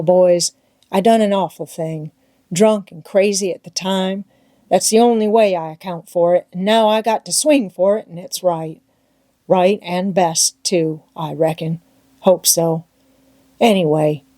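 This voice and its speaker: A woman's voice: fairly low pitch, mellow, dry recording, lightly acted. A woman voicing a grateful, remorseful old drunkard in jail for an audiobook, in humble, rambling rustic dialect.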